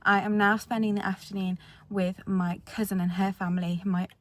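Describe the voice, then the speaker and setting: A woman's voice: medium pitch, level tone, moderate pace, no room sound. A young woman chatting candidly to camera in a casual diary-style vlog. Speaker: vlogger.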